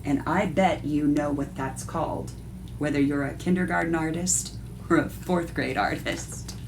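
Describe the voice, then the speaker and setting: A woman's voice: low-pitched, unhurried, creaky, background noise. A woman art teacher reading a picture book aloud expressively and explaining things to young listeners. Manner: instructive, addresses young listeners directly.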